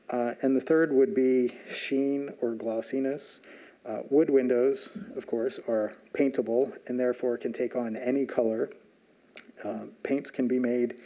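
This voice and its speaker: Mellow-voiced man presenting a staff report. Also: medium pitch, rough recording. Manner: measured, frequent "uh" fillers. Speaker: historic zoning staff presenter. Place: large municipal meeting room.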